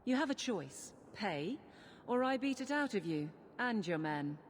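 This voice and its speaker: Hard-edged warrior, fantasy game, medium pitch, curt menace, hall reverb. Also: female voice, big pitch swings, a little slow, slight background noise. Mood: stern, threatening. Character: stern, forceful female fighter, curt and threatening.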